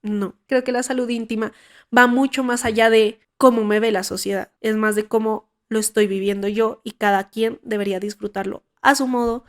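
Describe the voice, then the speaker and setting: A young woman with a Colombian accent hosting her podcast, hoarse from a cold and talking frankly with frequent restarts. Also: on the high side. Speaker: podcast host. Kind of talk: casual podcast monologue.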